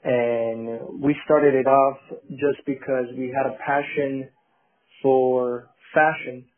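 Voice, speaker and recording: A man, a company co-founder, talking about his business in a halting way as an interview guest. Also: mid-range voice, level tone, a little hiss or hum. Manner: halting, many fillers and restarts. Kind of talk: casual interview. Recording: tinny phone-like line.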